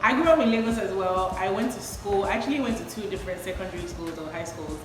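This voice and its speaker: A woman's voice: mid-range voice, fast talker, heavy reverb, big-room sound, noisy background. A young Nigerian woman hosting a casual chat with friends, teasing and laughing often. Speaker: vlogger host.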